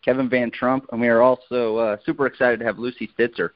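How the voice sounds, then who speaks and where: Male interview host, casual, on the low side, close dry sound with dull lo-fi band. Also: little pitch movement, fast, dry recording. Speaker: podcast host. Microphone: remote call. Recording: dull, lo-fi call audio.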